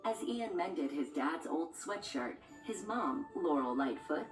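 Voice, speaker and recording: A woman's voice: deep voice, lively intonation, measured pace. A woman reading a scripted children's storybook as a read-along narrator, with music behind her. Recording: roomy, distant sound with music underneath.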